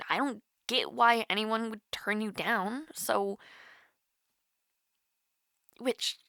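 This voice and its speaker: Woman acting a shy, high-voiced young college girl. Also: no echo, clean studio sound, full character voice. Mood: shy, hesitant. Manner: hesitant, flustered, soft-hearted, giggly. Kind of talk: intimate romantic audio roleplay, one-sided call.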